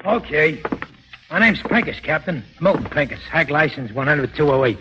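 An actor playing a blunt, slangy cab driver in a 1940s radio drama. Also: male voice, a little high, animated, normal speed, noisy background, lightly acted. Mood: matter-of-fact. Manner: plain-spoken, slangy, matter-of-fact. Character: blunt, streetwise, no-nonsense city cab driver. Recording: dull old 1940s radio recording.

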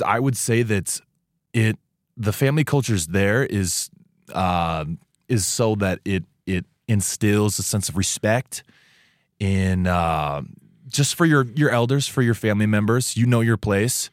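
A young man in his early twenties from Taiwan talks easily as a guest in a radio studio. His voice sits on the low side and sounds dry and close. He rambles in a friendly way, with many "um"s and restarts. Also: a little slow, no echo. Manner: relaxed, chatty, many fillers and restarts. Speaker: student guest. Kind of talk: casual interview chat.

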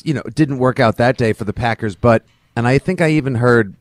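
A man's voice: mid-range voice, brisk, no echo, studio quality. A man co-hosting a sports podcast with casual, wry, colloquial football banter.

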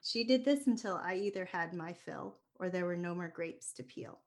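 A woman's voice: on the low side, moderate pace. An American woman hosting a podcast, telling a personal family story in a reflective, earnest way with light laughs and restarted words. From United States. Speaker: podcast host.

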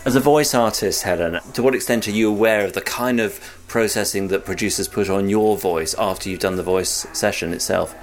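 A man hosting a radio industry podcast, asking guests measured, direct questions. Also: on the low side. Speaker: podcast host. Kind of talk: interviewing guests.

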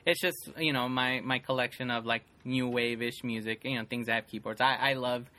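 A man's voice: mid-range voice, fairly even intonation, fairly quick, sharp tone. A man co-hosting a podcast, chatting casually and rambling with lots of fillers and restarts. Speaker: podcast co-host. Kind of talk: casual chat.

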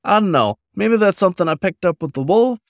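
A man's voice: sits high, animated, brisk, dry recording, studio quality, voice pushed up. A man voicing an older male werewolf trucker in a story, frank and reflective, with folksy rustic phrasing. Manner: rustic folksy drawl wording.

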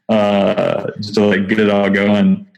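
Texas guy being interviewed, kinda low voice, excited and rambly, says um a lot. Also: vocal fry, dull audio. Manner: enthusiastic, chatty, frequent fillers and restarts. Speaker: nonprofit founder, podcast guest. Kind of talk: casual interview talk.

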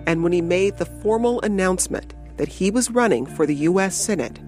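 A woman hosting a documentary podcast, reading scripted narration in a measured, clear storytelling manner. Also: lowish voice, expressive, darkish tone, faint music behind.

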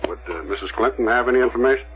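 An actor playing a methodical, persistent police detective with an American accent, measured and matter-of-fact, in a radio drama. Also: male voice, medium pitch, background noise, natural voice. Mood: probing. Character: methodical, persistent male police detective narrating his case. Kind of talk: 1970s radio drama narration and dialogue. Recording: muffled old radio recording.

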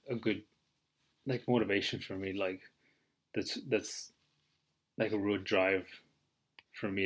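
A man in his twenties hosting his own podcast, talking casually and rambling with lots of fillers and some swearing. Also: low-pitched. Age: 20s. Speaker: podcast host. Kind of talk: casual solo podcast monologue.

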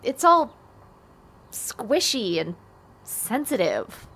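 Audio drama: unsentimental teenage girl, blunt, dry, matter-of-fact delivery, pitched a little high. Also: big pitch swings, very slow, gravelly, a bit bright, husky, heavily acted. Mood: embarrassed annoyance. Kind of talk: naturalistic audio drama acting.

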